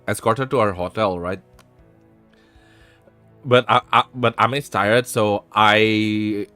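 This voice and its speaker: A man hosts a relaxed live stream and talks through relationship advice at an unhurried pace. He is blunt and swears freely, often restarting his sentences. His voice sits dry and close to the mic, with faint music playing underneath. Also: on the low side, clean tone, no echo. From Indonesia. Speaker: streamer host.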